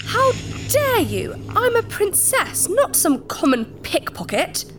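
An actress playing a proud, secretive alien princess in disguise, formal and precise, in a sci-fi audio drama. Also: female voice, extremely high pitch, huge pitch swings, slow, background noise, heavily acted. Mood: affronted. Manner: formal, precise, earnest, easily affronted.

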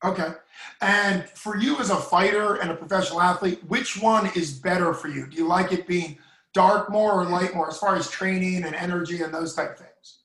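A man's voice: high voice, moderate pace, fairly bright tone, reverberant, poor audio. A talkative man hosting an interview podcast and asking long, friendly questions. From United States. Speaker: podcast host. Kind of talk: casual interview.

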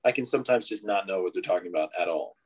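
A man's voice: deep voice, very fast, husky. A man in casual conversation about a classic film, thinking aloud and often restarting his sentences. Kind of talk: casual movie discussion.

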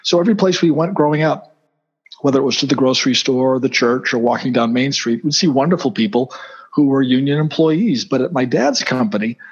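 A man's voice: mid-range voice, expressive, a little fast, husky, muffled recording. A middle-aged man from Boston with a Boston accent, a guest in an interview, talking casually with many fillers and restarts. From Boston, USA.

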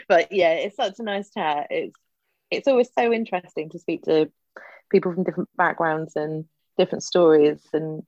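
A woman's voice: fairly low pitch, moderate pace, darkish tone, no echo, muffled recording. A woman co-hosting a podcast in casual chat, giggly and chatty. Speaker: podcast co-host.

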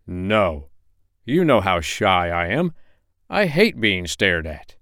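A man's voice: deep voice, big pitch swings, slow, fairly bright tone, no room sound, studio quality, heavily acted. A man playing a shy, polite two-headed gazelle-like creature in a storybook, with a reserved manner. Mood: reluctant.

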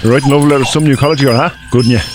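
A man playing an over-eager middle-aged dad, breezy and hurried as he tries to be pally, in an audio comedy drama. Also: mid-range voice, steady tone, over music, natural voice. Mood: eager, chummy.

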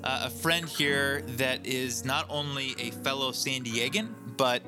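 A man's voice: medium pitch, measured pace, over music. A man hosting a podcast interview, upbeat and a little rambling, often correcting himself mid-sentence. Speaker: podcast host, clergy.

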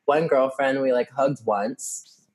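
A young man in his twenties talks openly in a relaxed conversation. He laughs often and drops the odd swear word. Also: mid-range voice, slow pace, crowd noise. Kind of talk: casual chat.

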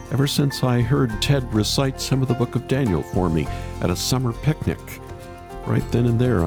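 A man's voice: fairly low pitch, gravelly. A man hosting a faith podcast, delivering introductions and interview questions in a radio style.

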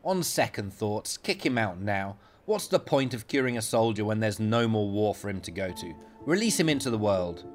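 Man doing a sardonic senior doctor, higher voice. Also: big pitch swings, normal speed, few pauses, soft background music. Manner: sardonic, clipped and dismissive. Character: sardonic senior male doctor, brisk and condescending.

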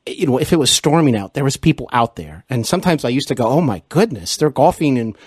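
A middle-aged American man hosting a conversational interview, agreeing often and phrasing things haltingly. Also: medium pitch, big pitch swings, husky, no room sound, studio quality. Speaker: interview show host.

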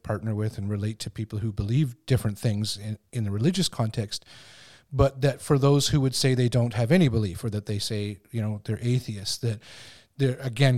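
A man's voice: mid-range voice, darkish tone. A man speaking as an interviewer in casual, reflective conversation, searching for words and often repeating phrases as he goes.

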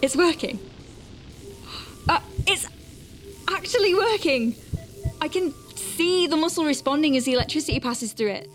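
A woman's voice: sits high, lively intonation, moderate pace, pauses often, faint music behind. An actress playing an anxious, wry woman medic piloting a tiny craft in a sci-fi audio drama, hesitant under pressure. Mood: excited awe.

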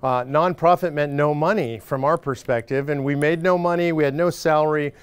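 A man in his forties giving a loud, casual, joking talk to a live audience of supporters. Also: fairly high pitch, fairly even intonation, a little fast, clear, resonant tone, no echo. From Northern California. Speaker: speaker addressing supporters. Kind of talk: casual stump speech.

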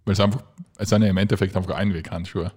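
Young Austrian co-host, lowish voice, easygoing and jokey. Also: male voice, fairly even intonation, very fast, darkish tone, husky. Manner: joking, easygoing, teasing. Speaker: podcast co-host. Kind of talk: casual chat.

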